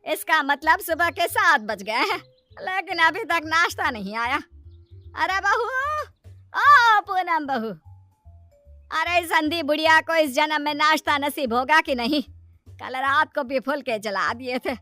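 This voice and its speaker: A woman voicing a sharp-tongued but good-hearted old blind mother-in-law in a moral story cartoon, with grumbling, exclaiming delivery. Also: high voice, lively intonation, no room sound, faint music behind, exaggerated voice. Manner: grumbling, exclaiming, drawn-out calls.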